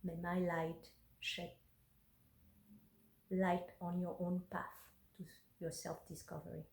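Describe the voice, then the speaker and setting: Slow, hesitant woman on a phone. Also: fairly low pitch, little pitch movement, gravelly, a little mellow. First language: French. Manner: hesitant, with many ums and uhs. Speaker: intuitive energy worker. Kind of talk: personal vlog and reading her journal aloud. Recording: roomy phone recording.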